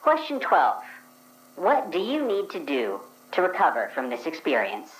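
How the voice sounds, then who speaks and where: A woman voicing a formal interviewer who reads out numbered questions in a measured way. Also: low-pitched, huge pitch swings. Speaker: dream questionnaire voice. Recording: echoey room sound.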